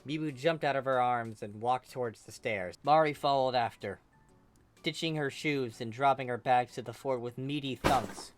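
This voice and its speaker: A reader narrating a comic fan fiction story in an expressive, emphatic way. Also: mid-range voice, moderate pace, faint music behind.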